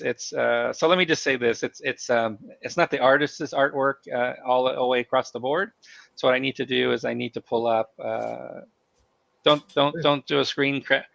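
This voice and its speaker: A gravelly, bright-toned man who has a cold and is losing his voice. He hosts a live company stream and talks in halting bursts, stopping and restarting his sentences. The sound is dry and a little muffled. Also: medium pitch, dry recording. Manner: halting, many fillers and restarts. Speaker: host of company town hall stream.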